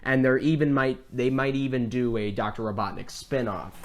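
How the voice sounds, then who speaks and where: A man's voice: mid-range voice, level tone, normal speed. A man with a mid-range voice co-hosts a casual film talk. He is earnest and enthusiastic, and his delivery wanders in a loose, conversational way. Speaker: podcast co-host.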